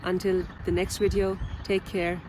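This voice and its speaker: A woman who speaks English as a second language, giving explanatory advice as a video presenter in a measured, deliberate way with pauses mid-phrase. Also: fairly low pitch, little pitch movement, noisy background. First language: a language other than English. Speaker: video presenter, parent advocate. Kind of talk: explanatory advice talk to camera.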